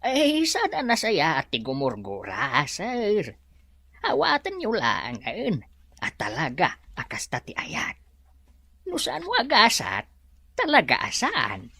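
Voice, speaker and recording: A woman's voice: lowish voice, wildly swooping pitch, takes it slowly, bright, forceful tone, husky, no room sound, full character voice. A woman playing a loyal, respectful servant with exclamatory delivery in an Ilocano audio drama. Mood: consoling.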